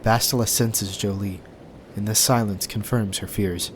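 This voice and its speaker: A man's voice: lowish voice, fairly even intonation, unhurried, low-quality recording. A man reading scripted scene headings and action as the narrator of an audio drama, with faint noise behind him. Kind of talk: scripted narration of screenplay directions. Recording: faint background noise and ambience.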